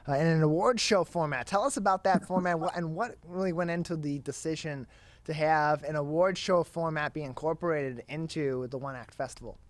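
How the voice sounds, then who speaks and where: A man's voice: fairly high pitch, no echo. A man hosting a news and talk show, interviewing guests. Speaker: TV show host.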